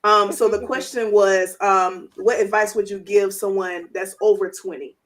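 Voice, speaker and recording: A woman's voice: mid-range voice, steady tone, normal speed, fairly bright tone, low-quality recording. A woman in her late twenties hosting a casual chat in an informal, slangy way. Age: late 20s. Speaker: host.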